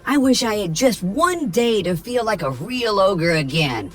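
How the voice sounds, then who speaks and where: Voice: mid-range voice, very animated, unhurried, soft background music, voice pushed up. A narrator voicing a grumpy, homesick big ogre in a read-aloud storybook. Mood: grumbling.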